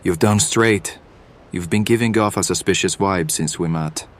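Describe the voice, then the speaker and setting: A man's voice: deep voice, moderate pace, lightly acted. A man acting a bitter, accusing, distrustful hireling in an audio drama.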